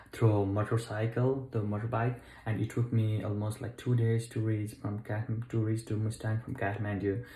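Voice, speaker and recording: A young man from Nepal speaks to camera in a low, mellow voice with a flat, even delivery. He stops and starts, fills gaps with "uh" and corrects himself as he goes. The sound bounces around an echoey room. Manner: halting, many fillers and self-corrections. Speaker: travel vlogger. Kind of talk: unscripted talk to camera. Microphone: camera mic.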